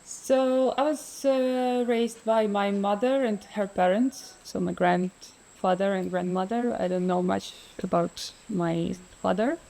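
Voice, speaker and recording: A woman's voice: medium pitch, takes it slowly, vocal fry, few pauses, dark tone, rough recording. A woman from Ukraine, likely in her thirties, speaking as an interview guest, hesitant with many fillers and frequent laughs. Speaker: freediver guest. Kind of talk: relaxed interview conversation.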